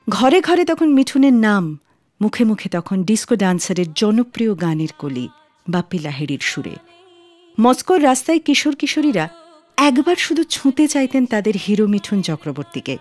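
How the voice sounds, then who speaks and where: A woman's voice: mid-range voice, sing-song, brisk, a little mellow, soft background music. A woman hosting a radio show, reading scripted narration. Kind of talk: scripted radio narration about film music.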